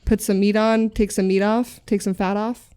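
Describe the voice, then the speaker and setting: Woman host, teasing and blunt, mid-pitch everyday voice. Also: flat delivery, moderate pace, mellow, smooth. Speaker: podcast host. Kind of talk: casual podcast chat.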